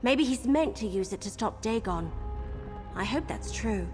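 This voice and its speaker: An actress playing an earnest, worried adventurer companion in a video game, speaking expressively. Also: female voice, medium pitch, animated, measured pace. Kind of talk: video game character acting. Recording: reverberant hall, game music behind.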